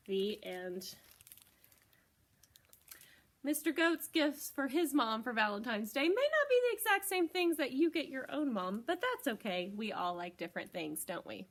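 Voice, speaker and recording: A woman's voice: sits high, measured pace. A woman in her thirties reading a children's storybook aloud expressively as a library storytime presenter. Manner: expressive, addressing young children. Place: small library corner, indoors.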